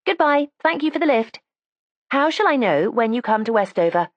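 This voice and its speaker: A woman's voice: on the high side, sing-song, moderate pace, dry recording, dull audio, voice pushed up. A woman doing a prim, chatty schoolgirl. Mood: earnest. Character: precocious, matter-of-fact teenage schoolgirl.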